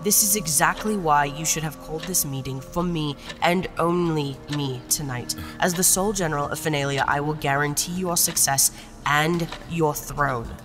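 A woman's voice: sits low, normal speed, close to an everyday voice. A woman playing a proud, ruthless guard captain in an audio drama, emphatic and formal. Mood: proud, insistent. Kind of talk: theatrical audio drama acting. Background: music.

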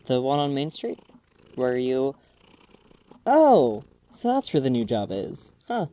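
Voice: mid-range voice, huge pitch swings, takes it slowly, dark tone. An actor playing a cheeky, friendly man in his early twenties in a casual audio drama, playful and teasing. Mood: curious. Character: cheeky, friendly 22-year-old man, Bailey.

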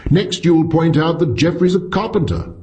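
A man's voice: fairly high pitch, expressive, moderate pace, mellow, dull audio, pitched above the performer's own voice, lightly acted. Casting: weary male senior scientist, acted by a male narrator, sour, skeptical, dismissive, for audiobook fiction. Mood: fierce.

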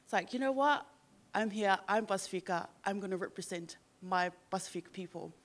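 A woman's voice: mid-range voice, brisk. A young woman from Samoa, a guest on a panel on an event stage, telling a story casually with many fillers into a handheld microphone. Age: young adult. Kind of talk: panel discussion. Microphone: handheld mic.

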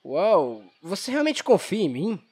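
A man's voice: high-pitched, sing-song, unhurried, darkish tone, breathy edge, exaggerated voice. A man with a Brazilian accent playing a cheerful, dim-witted young hero in a comedic anime parody dub. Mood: naive, upbeat. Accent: Brazilian Portuguese. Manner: naive, guileless.

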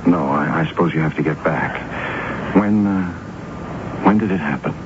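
Anxious boyfriend, tender, solicitous, hesitant, 1970s radio drama, lo-fi with clear background noise. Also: male voice, rumbling low. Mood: puzzled. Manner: halting, solicitous. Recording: muffled, noisy old radio broadcast.

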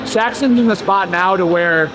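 A man in his thirties, a coach, explaining plans in a steady casual way with some fillers. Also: high-pitched, moderate pace. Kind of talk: casual behind-the-scenes update. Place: large indoor competition venue. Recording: noisy arena background.

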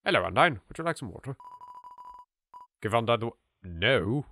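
A man's voice: mid-range voice, moderate pace, slight background noise. A man with an English accent giving casual, chatty, reactive gameplay commentary as a let's play host. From England. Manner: animated, reactive, politely chatty. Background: game music.

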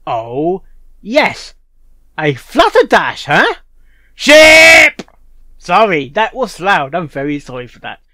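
A man giving casual live reaction commentary, excitable and apologetic, often interjecting. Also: high voice, slow pace, no room sound, studio quality. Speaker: reaction video host.

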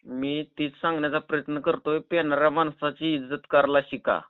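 A man's voice: on the high side, little pitch movement, a little fast, smooth, dry recording, natural voice. A man with a rural Maharashtrian Marathi accent playing an argumentative, rambling drunk pestering a woman in a prank phone call. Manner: rambling, stubborn, cheeky. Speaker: prank caller. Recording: narrow tinny phone-call recording.

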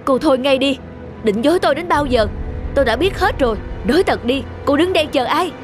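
Intense, emotional cartoon husband. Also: male voice, very high-pitched, lively intonation, brisk, music in the background. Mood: accusing, angry. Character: intense, emotional husband, suspicious then remorseful. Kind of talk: animated drama dubbing.

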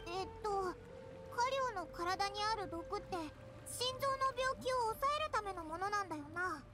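A tiny floating fairy girl from an anime game. Her voice is extremely high and swoops with feeling, childlike and brash, with music playing behind it. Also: lively intonation, moderate pace. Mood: anxious, pleading. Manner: cute, brash, emotional. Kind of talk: Japanese anime game acting. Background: background music.

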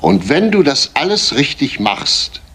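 A calculating male schemer from a retro radio crime drama. He instructs step by step with urgent authority, his mid-pitched, slightly rough voice moving in lively swings. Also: a little slow, full character voice. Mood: urgent, commanding. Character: scheming man coolly instructing his accomplice in a cover-up.

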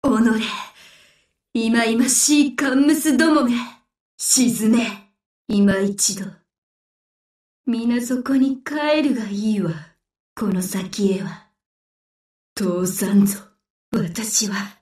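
A woman voicing a menacing, vengeful female sea demon in a Japanese game, with a drawn-out, otherworldly delivery through an echo effect. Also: on the high side, a little mellow. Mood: bitter, defiant. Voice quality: echoing, otherworldly. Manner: slow, drawn-out, threatening delivery. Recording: echoing, distant game voice clips.

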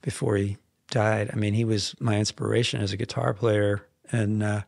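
Man, 50s, gravelly voice, dry close mic, rambling animated storyteller. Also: fairly low pitch, moderate pace, dry recording. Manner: rambling, animated, restarts often. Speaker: songwriter guest. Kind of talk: casual interview storytelling. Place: podcast studio. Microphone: headphones and boom mic.

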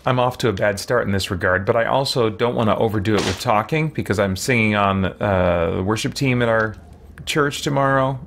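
A man in his thirties giving casual livestream commentary as he plays a video game, chatting and thinking out loud in a rambling way. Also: lowish voice, fairly quick, a little hiss or hum. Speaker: live streamer, game player. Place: small indoor room with shelves. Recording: clear game sound behind the voice. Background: video game ambience and effects.

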